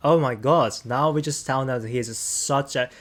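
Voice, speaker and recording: A man's voice: mid-range voice, unhurried, a bit bright. Chatty Japanese man reacting in English. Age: 30s. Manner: chatty, British-style slang like mate and reckon. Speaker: reaction video host. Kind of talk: casual reaction commentary.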